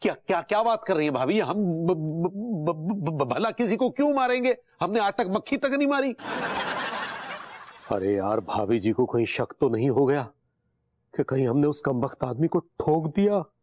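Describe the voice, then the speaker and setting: An actor playing a nervous, defensive middle-aged neighbour who stammers when cornered in a sitcom. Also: male voice, fairly high pitch, very animated, normal speed, darkish tone. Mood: flustered.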